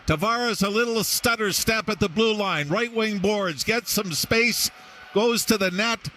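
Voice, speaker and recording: A man's voice: high voice, unhurried, a little hiss or hum. A man working as a radio hockey analyst, breaking down the game in a conversational, analytical manner. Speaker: colour analyst. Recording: radio aircheck with loud background sound.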